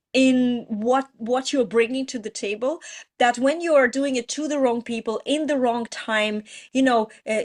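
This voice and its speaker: A middle-aged woman, a coach giving an earnest, informal astrology teaching talk with frequent restarts. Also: a little high. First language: Russian. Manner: earnest, explains with many restarts and "you know"s. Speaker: prosperity coach.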